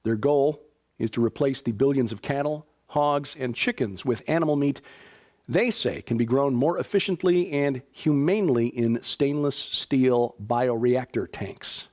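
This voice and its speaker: A man's voice: mid-range voice, measured pace, a little mellow. A man from Chicago hosting a news show, reading stories and announcements in a conversational way, with fillers and dry asides. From Chicago, USA. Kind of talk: casual news commentary.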